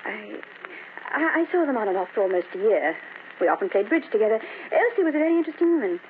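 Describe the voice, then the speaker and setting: A woman's voice: mid-range voice, sing-song, quick pace, noisy background, lightly acted. An actress playing a mysterious woman who speaks cryptically and forebodingly in an old time radio horror drama, on a tinny vintage recording. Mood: knowing, eerie. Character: mysterious, ominous woman acquaintance hinting at deadly secrets.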